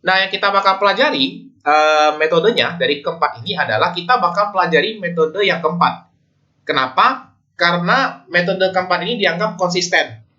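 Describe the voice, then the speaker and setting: A man's voice: sits high, normal speed, pushed and bright, highs cut off. A man with an Indonesian accent giving an informal explanatory lecture as a teacher, in a conversational way that often checks in with listeners. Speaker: accounting lecturer.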